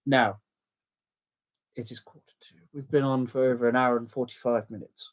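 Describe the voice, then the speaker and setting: A man's voice: mid-range voice, steady tone, moderate pace. A man co-hosting a casual livestream, chiming in agreeably with short remarks. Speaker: co-host.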